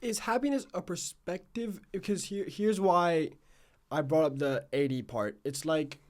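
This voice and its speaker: A man's voice: a little high, measured pace, a little mellow. A man hosting a podcast in a casual, reflective way, rambling and self-questioning as he circles toward his questions. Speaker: podcast host, interviewer. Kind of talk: casual reflective interview chat.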